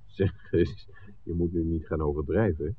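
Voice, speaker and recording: Honest, stubborn city fellow, low and dry, vintage radio drama with tinny sound. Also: male voice, steady tone, unhurried. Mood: relenting. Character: principled, stubborn young city man, dryly honest. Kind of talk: 1960s Dutch radio play acting. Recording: tinny old radio recording, faint hiss.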